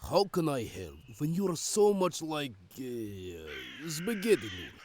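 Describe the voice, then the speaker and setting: Gruff older mechanic, irritable, broken English, very wide pitch swings, slight background noise. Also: huge pitch swings, slow, darkish tone. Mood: grumpy, dismissive. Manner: curt, grumbling, broken English. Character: gruff burly one-eyed older male mechanic, irritable. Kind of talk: fan dub comic voice acting.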